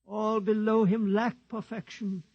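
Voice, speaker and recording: Croaky, high-voiced old priest. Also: male voice, flat delivery, measured pace, lo-fi sound. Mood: solemn preaching. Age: older. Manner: preachy, solemn, stammering when challenged. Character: frightened, self-righteous old priest of a shipboard faith. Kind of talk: old radio drama acting.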